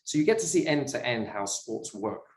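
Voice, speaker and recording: A man's voice: medium pitch, expressive, normal speed, echoey room, a little hiss or hum. A middle-aged man from the UK with a British accent, talking as an interview guest in a chatty, self-deprecating way with frequent fillers. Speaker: guest, sports investor. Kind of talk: casual interview talk.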